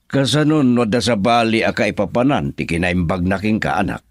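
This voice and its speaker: An actor with an Ilocano accent playing a gentle middle-aged father, earnest and halting, in a radio drama. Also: fairly low pitch, expressive, no room sound, clean studio sound. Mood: hesitant, tender.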